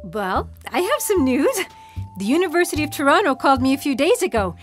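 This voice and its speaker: A woman's voice: sits high, brisk, faint music behind. A woman playing an eager, caring yet skeptical scientist in a sci-fi audio drama. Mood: excited. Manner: expressive, eager.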